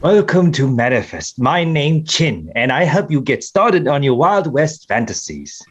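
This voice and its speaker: An actor playing an elderly Chinese laborer, a video game guide character with a thick Chinese accent and broken English. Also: male voice, fairly high pitch, big pitch swings, measured pace, slightly rough, lo-fi sound, exaggerated voice. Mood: unbothered, instructive. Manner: broken English, cheerfully scripted. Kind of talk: table read of a comedy screenplay.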